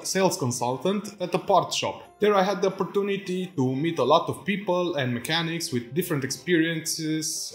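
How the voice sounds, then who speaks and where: A man in his thirties from Romania with a Romanian accent, chatting casually to camera in a vlog and laughing often at his own asides, recorded on a phone in a workshop. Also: fairly high pitch. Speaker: vlogger. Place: indoor workshop with tools and shelves. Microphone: phone camera mic. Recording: echoey room on a phone mic, faint music.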